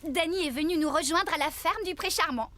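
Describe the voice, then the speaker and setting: High-voiced friendly cartoon farmer woman. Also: a little fast, sharp tone, slight background noise. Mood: cheerful. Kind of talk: children's cartoon dub. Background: music.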